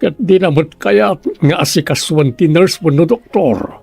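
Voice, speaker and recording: A man's voice: fairly high pitch, measured pace, vocal fry, darkish tone, husky, no room sound, faint music behind, full character voice. An actor playing a bossy, nagging man with an Ilocano accent in a radio drama. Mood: reproachful.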